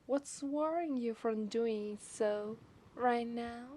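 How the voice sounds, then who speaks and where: Obsessive, seductive mermaid for ASMR drama, played by a woman: Italian accent, higher pitch, very slow teasing delivery. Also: dark tone, a little hiss or hum. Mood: teasing, gleeful. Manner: slow, teasing, lapsing into whispers. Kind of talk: ASMR roleplay voice acting.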